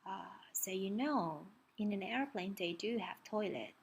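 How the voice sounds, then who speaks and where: A woman reading a children's story aloud, expressively, pausing to ask young listeners questions and point things out. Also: mid-range voice, lively intonation, husky.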